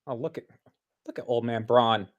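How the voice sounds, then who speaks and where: A man's voice: medium pitch, steady tone, moderate pace, dull audio. Man giving analytical basketball commentary.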